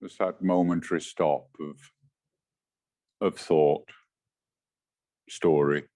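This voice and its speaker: Slow, low-voiced man guiding meditation. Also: fairly even intonation, no room sound, studio quality. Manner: gentle, measured instruction. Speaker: meditation teacher. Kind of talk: guided meditation, unhurried with short phrases and long pauses.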